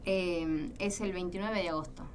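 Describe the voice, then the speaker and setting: A woman's voice: on the low side, fairly even intonation, moderate pace. A woman from Salta with an Argentine accent, a guest guitarist chatting casually in a radio interview, hesitant and full of fillers. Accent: Argentine Spanish. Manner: hesitant, many fillers and restarts. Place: radio studio. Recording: distant mic, faint room noise.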